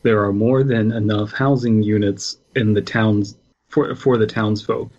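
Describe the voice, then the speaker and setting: A man's voice: fairly low pitch, steady tone, measured pace, darkish tone. A man co-hosting a podcast, reading aloud casually with wry commentary, on a slightly dull microphone. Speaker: podcast co-host.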